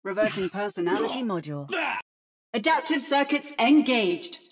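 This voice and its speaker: A woman's voice: mid-range voice, big pitch swings, moderate pace, faint music behind, close to an everyday voice. A woman playing an adaptive female robot in a video game, with a precise, synthetic, theatrical delivery that mimics other fighters. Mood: precise, robotic. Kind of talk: video game character voice lines.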